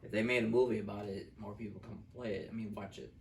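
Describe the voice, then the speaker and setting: A man's voice: lowish voice, steady tone, fast, distant mic, slight background noise. A young man co-hosting a casual review chat, talking in a blunt, slangy way. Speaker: film review co-host. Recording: echoey room, off the mic.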